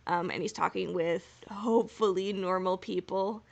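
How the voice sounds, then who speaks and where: Female podcast co-host, casual and giggly, enthusiastic fan chat, some vocal fry. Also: lowish voice, fairly even intonation, normal speed, a little mellow. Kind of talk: casual fan discussion with recap.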